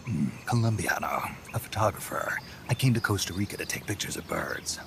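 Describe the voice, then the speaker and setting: An actor voicing a terse, guarded middle-aged male mercenary in a video game cutscene, with a gravelly, hushed delivery. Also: expressive, brisk, noisy background. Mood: calm. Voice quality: gravelly, low whispery delivery. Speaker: game character. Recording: game cutscene with music behind.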